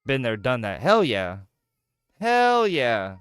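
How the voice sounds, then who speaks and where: Lively, bright-voiced joking man in his thirties. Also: medium pitch, slow, clean tone, no room sound, studio quality. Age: 30s. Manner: joking, profane, animated banter. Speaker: gaming streamer. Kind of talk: casual chat while gaming.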